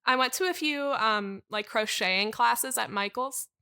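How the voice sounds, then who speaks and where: Casting: actress for a quirky, earnest dream girl in improvised podcast comedy; bright, forward voice, whimsical and totally sincere. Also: a little high, normal speed, clear, resonant tone. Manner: airy non sequiturs stated with complete sincerity. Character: whimsical, earnest manic pixie dream girl, a young woman. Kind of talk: improvised comedy mock interview.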